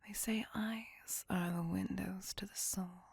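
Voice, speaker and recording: Woman doing asmr vampire lady, centuries old, super breathy whispering with some gravel in it, dark n possessive, old fashioned wording. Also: medium pitch, fairly even intonation, dry recording, exaggerated voice. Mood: dark, brooding. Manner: slow, formal, archaic, menacingly tender. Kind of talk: intimate ASMR roleplay.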